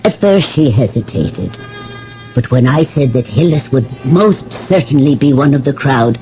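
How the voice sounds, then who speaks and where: A man's voice: medium pitch, animated, normal speed, close to an everyday voice. An actor playing a loyal, earnest manservant of ancient Greece who tells his tale in a radio drama. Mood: earnest, recounting. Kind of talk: radio drama narration and acting. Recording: dull old radio broadcast with music.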